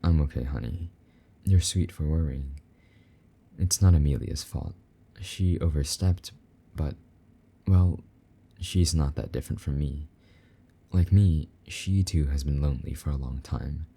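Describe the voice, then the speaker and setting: A man playing a possessive but tender, lonely demon lover in an intimate romantic audio roleplay, speaking softly and coaxingly in a husky voice. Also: exaggerated voice. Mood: gentle, insistent, then wistful. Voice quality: very deep, dark, husky. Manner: soft, slow-burning, gently coaxing endearments.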